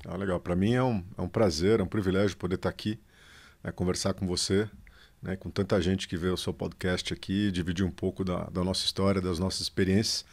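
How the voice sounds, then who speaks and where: A middle-aged man, a company founder telling his story in a relaxed interview, with lots of fillers and drawn-out pauses. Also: low-pitched. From São Paulo, Brazil. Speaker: guest, advertising agency founder. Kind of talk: casual conversational interview.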